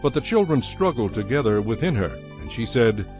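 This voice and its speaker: A man narrating dramatized scripture in a solemn, measured manner. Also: medium pitch, big pitch swings, darkish tone. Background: music.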